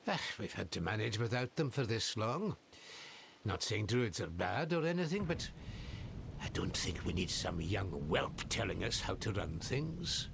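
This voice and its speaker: Gruff old farmer from a fantasy game, slow grumbly delivery that swoops around, music in the back. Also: male voice, fairly low pitch, very animated, a bit bright, a little hiss or hum, exaggerated voice. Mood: grumbling, dismissive. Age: older. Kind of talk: dramatic video game acting. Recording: music behind.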